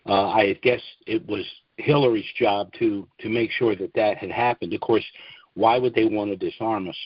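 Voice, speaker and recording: An American man speaking deliberately and haltingly in an informal monologue as host of a conference call. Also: fairly low pitch, fairly even intonation, no room sound. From United States. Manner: deliberate, halting, frequent throat-clearing. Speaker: conference call host promoting trust services. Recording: dull, dry conference call audio.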